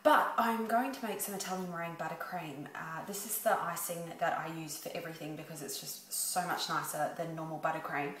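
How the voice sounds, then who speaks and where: A woman, speaking quietly and chattily as she explains a baking recipe at home. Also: low voice, brisk, few pauses, roomy sound, slight background noise. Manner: quiet, chatty and self-deprecating. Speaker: home baker. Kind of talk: baking tutorial. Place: home kitchen.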